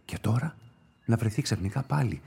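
An actor playing a wary, restrained police inspector in a radio play, hesitant and formal. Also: male voice, lowish voice, lively intonation, creaky, mellow, slight background noise. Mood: musing. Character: wary police inspector, uneasy and privately judging.

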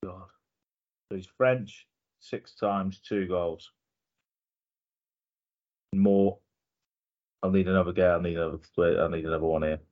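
A man's voice: deep voice, steady tone, measured pace, pauses often. A man hosting a casual football podcast, upbeat and competitive, thinking aloud while he guesses. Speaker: podcast host. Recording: dull lo-fi podcast audio.

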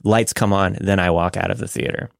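Low, gravelly American man. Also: steady tone, brisk, dry recording, clean studio sound. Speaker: podcast co-host. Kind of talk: casual podcast banter.

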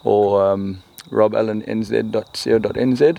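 A man's voice: lowish voice, fairly even intonation, moderate pace, creaky, mellow, dull audio. A man with a South African accent, chatting casually as an interview guest in a wry, joking manner. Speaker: interview guest, spearfishing guide and retailer. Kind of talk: casual chat.

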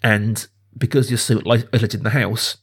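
A man's voice: on the low side, flat delivery, a little fast, breathy edge. A man hosting a casual film discussion podcast, explaining things in thoughtful, enthusiastic detail. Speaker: podcast host.

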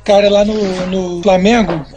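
A man answering questions as a guest on a radio interview, chatty and relaxed, laughing easily. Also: high voice, a little slow, faint music behind. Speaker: basketball player guest.

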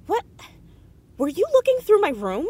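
An actress playing an inquisitive, headstrong teenage girl in an audio drama, insistent and quick to get defensive. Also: extremely high pitch, huge pitch swings, a little mellow, a little hiss or hum, heavily acted. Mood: flustered, defensive. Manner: stammers when cornered, insistent. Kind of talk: naturalistic audio drama acting.

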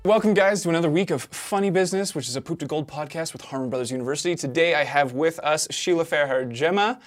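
A man's voice: on the high side, quick pace, faint music behind. A man hosting a podcast interview, asking questions in an upbeat, friendly way. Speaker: podcast host. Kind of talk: interview host.

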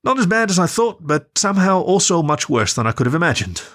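A woman's voice: on the low side, sing-song, fast talker, fairly bright tone, pitched above the performer's own voice. A man voicing an anxious, hurt young female dog who speaks, pleading and frustrated. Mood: subdued.

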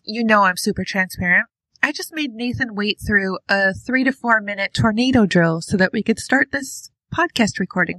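A woman's voice: a little high, bright, forceful tone, dry recording, poor audio. A woman hosting a podcast, upbeat and chatty as she opens the show and greets her guest. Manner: upbeat, chatty, self-deprecating. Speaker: podcast host. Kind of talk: podcast intro and interview.

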